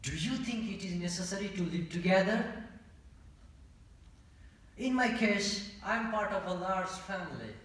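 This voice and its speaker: A young man from Nepal with a Nepali accent gives a rehearsed contest speech in a deliberate, formal manner. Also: high-pitched, animated, fairly bright tone. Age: young adult. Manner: deliberate, formal, rhetorical pauses and questions. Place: large indoor auditorium. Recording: echoey auditorium, distant from mic.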